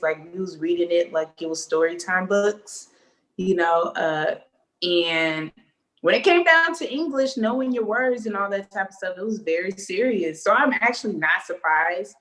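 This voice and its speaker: A young woman co-hosting a casual live stream, playful and animated, laughing often as she reads viewer comments. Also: lowish voice, moderate pace. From United States. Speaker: co-host.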